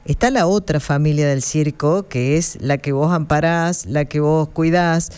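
Smooth-voiced male interviewer. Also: fairly high pitch, unhurried, darkish tone. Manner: attentive, frequent short affirmations. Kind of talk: conversational interview.

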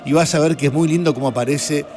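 A man's voice: on the high side, steady tone, fast, darkish tone, faint music behind. A man with an Argentine accent hosting a radio and TV show, chatting casually and explaining things to listeners with enthusiasm. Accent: Argentine Spanish.

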